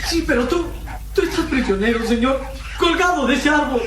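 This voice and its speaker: Worried young boy sidekick, radio drama, very high voice, echoey. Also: animated, normal speed, a bit bright, slight background noise. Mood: anguished. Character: anxious, tearful, devoted young boy sidekick. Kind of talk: melodramatic Latin American radio drama acting.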